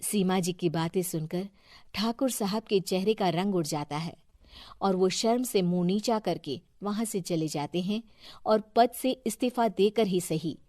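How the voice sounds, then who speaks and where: A woman's voice: mid-range voice, unhurried, darkish tone, dry recording, clean studio sound. A woman narrating a scripted moral story as its storyteller.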